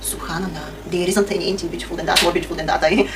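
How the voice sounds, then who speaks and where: Woman, Bulgarian accent, very rapid speech, earnest and devout, recorded a bit far from the mic, faint background hiss. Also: on the low side, steady tone. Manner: earnest, devout, frequent Arabic religious phrases. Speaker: convert sharing her story. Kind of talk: answering viewer questions, testimony.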